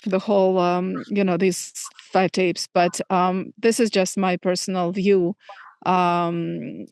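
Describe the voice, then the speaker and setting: A middle-aged woman from Moscow with a Russian accent, a native Russian speaker, answering interview questions thoughtfully with hesitant pauses. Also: on the low side, little pitch movement, vocal fry, darkish tone, clean tone. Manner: thoughtful, many fillers and restarts. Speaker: filmmaker guest.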